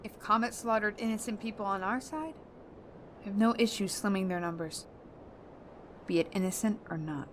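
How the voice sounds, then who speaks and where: An actress playing a blunt, hardened war-veteran space captain in a science-fiction audio drama. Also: female voice, mid-range voice, moderate pace, long pauses, a little hiss or hum, close to an everyday voice. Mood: vengeful. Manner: blunt, hard-edged.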